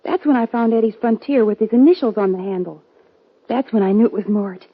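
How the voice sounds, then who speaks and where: A woman playing a tender, shaken young frontier bride-to-be with a breathy voice in a 1950s radio western. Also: on the high side, brisk, phone-quality sound. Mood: shaken, subdued. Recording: thin, band-limited 1950s radio recording.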